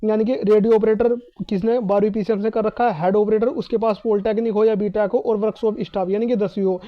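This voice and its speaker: A man's voice: sits high, fairly even intonation, fast talker, highs cut off. A man giving an informal explainer to exam candidates, emphatic, repeating his points and asking himself questions. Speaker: exam cutoff advice presenter.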